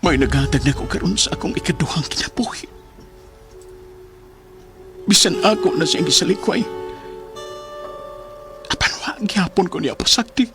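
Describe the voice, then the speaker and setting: A humbled, devout man with a very high voice and a Cebuano accent. He speaks with heartfelt pleading in a radio drama, over soft music. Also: expressive, normal speed, long pauses. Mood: remorseful, tearful. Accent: Cebuano Filipino. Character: humbled, repentant, devout man saved from death. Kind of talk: emotional radio drama acting.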